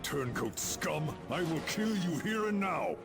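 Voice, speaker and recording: Defiant commander, swooping pitch. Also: male voice, lively intonation, unhurried, gravelly. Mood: furious. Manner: forceful, vengeful. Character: defiant, hot-blooded male space marine commander. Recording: voice under loud music.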